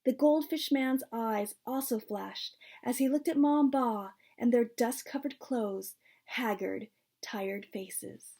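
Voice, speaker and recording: Woman reading a kids story out loud, highish voice w some creak, expressive. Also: slow pace. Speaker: author reading own book. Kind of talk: storybook read-aloud.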